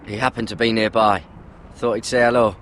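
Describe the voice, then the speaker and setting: A man's voice: fairly low pitch, little pitch movement, a little fast, a bit bright, slight background noise, natural voice. An actor playing an earnest, dutiful young squire turned watchman in a fantasy game, with a questioning manner. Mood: resolute. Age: young adult. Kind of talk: dramatic fantasy game acting.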